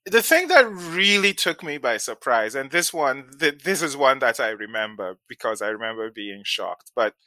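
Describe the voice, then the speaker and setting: A man's voice: mid-range voice, very animated, moderate pace, few pauses, sharp tone, dry recording, rough recording. A man from Ghana in his forties, a venture investor being interviewed, who often repeats words as he starts a thought and laughs lightly. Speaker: guest, venture capital investor. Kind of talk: casual interview talk.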